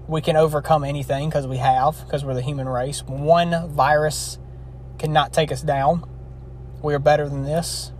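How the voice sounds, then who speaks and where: A man's voice: a little high, a little mellow. A man of twenty-one hosting his own podcast in a casual, rambling, earnest way, his throat scratchy from a long session. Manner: rambling, earnest, with frequent restarts. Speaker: podcast host. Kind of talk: casual solo podcast wrap-up.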